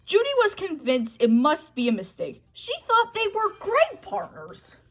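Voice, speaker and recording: A woman's voice: very high voice, wildly swooping pitch, unhurried, soft background music. A woman narrating a children's storybook read-aloud, telling the tale expressively. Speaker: storybook reader.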